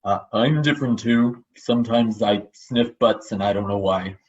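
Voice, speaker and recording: A man plays a good-natured, simple storybook dog. The voice sits low, plain and sheepish, and the lo-fi recording dulls it. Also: moderate pace, natural voice. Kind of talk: dramatic children's book reading. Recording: dull lo-fi sound.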